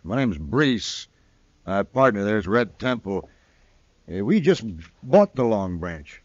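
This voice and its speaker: A pushy, scheming western swindler in a radio drama. His fairly low, curt delivery sits in muffled, dry old broadcast sound. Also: very animated, takes it slowly, no room sound, heavily acted. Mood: pushy, anxious. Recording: dull vintage radio recording.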